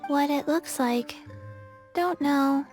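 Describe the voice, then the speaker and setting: A high, muted female voice for a blank little girl in a fantasy game. She is slow and deadpan, giving short literal statements without emotion. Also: faint music behind. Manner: flat, matter-of-fact, clipped phrases. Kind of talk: English game voice acting.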